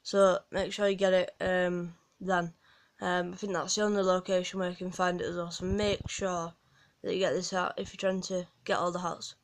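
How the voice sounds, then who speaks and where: A woman's voice: fairly low pitch, little pitch movement, moderate pace, hardly any pauses. A woman with a Yorkshire accent gives a rambling, casual walkthrough of a game from home, full of ums and restarts. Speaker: gaming guide creator.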